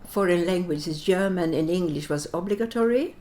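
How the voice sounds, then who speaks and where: An older woman from Sweden, a native Latvian speaker, answering interview questions reflectively in halting English as she searches for words. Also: lowish voice, warm tone. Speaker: interview guest.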